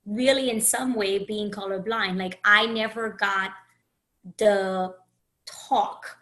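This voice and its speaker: Bright-voiced Haitian-raised woman podcaster. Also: mid-range voice, fairly even intonation. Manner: earnest, searching, with frequent restarts and pauses. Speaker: podcast host and coach. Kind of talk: reflective solo podcast monologue.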